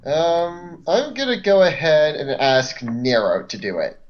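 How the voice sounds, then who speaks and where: A man's voice: on the high side, animated, a little slow, lo-fi sound. A man chatting casually as a player in a tabletop roleplaying game.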